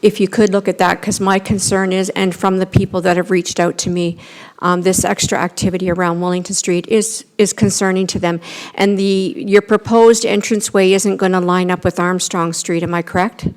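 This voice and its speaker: A woman's voice: on the low side, level tone, normal speed, a little mellow. A middle-aged woman with a Canadian accent, a town councillor asking questions at a council meeting, with many fillers and restarts, speaking into a desk microphone in a council chamber.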